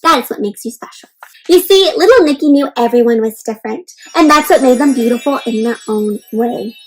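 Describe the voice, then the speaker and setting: A woman's voice: fairly high pitch, fairly quick, slight background noise, rough recording. A woman reading a storybook aloud to young children, encouraging and reassuring, often stopping to explain simply and check in with them. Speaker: children's read-aloud host.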